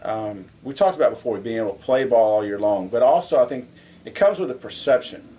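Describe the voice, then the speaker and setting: A man in the middle of the male pitch range, co-hosting a college sports podcast. He debates in a casual, rambling way. When something irks him, his voice climbs and gets louder. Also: lively intonation, fairly quick. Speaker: co-host.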